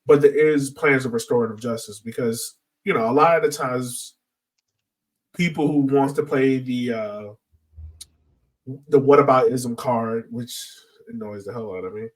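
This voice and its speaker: A man's voice: mid-range voice, far from the mic, rough recording. A man hosting a podcast in a casual, informal discussion style. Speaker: podcast host.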